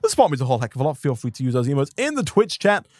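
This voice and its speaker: Fast-talking, slightly hoarse male streamer. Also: mid-range voice, sing-song, darkish tone, no room sound, studio quality. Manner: rambling, upbeat, thanks viewers by name. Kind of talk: live gameplay stream commentary.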